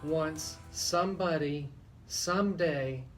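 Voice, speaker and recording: A man with a fairly high voice talks as a legal expert on a radio show. He explains in a deliberate way, pausing within his sentences. Music plays clearly underneath his speech. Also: fairly bright tone.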